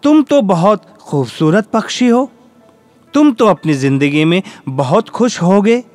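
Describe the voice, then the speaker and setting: A man voicing a curious, admiring crow in a children's fable, earnest and expressive. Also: high-pitched, a little slow, faint music behind.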